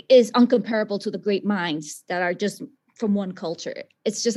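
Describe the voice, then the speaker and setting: A woman's voice: mid-range voice, a little mellow. A woman from the South Bronx speaking as a scientist and teacher on a webinar panel, passionate and emphatic in her answer. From South Bronx, New York.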